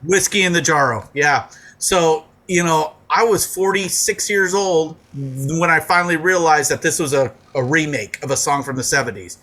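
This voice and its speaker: Bright-voiced rambling man in his forties. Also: on the high side, normal speed. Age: 40s. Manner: rambling, heavy fillers and swearing. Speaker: podcast co-host. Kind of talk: casual comedic chat.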